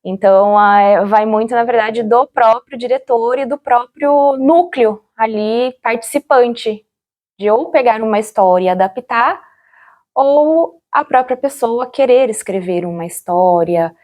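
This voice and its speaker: A woman's voice: a little high, measured pace, highs cut off. Reflective Brazilian woman recalling in an interview. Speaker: interviewee, former radio drama actor. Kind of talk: interview, personal recollection.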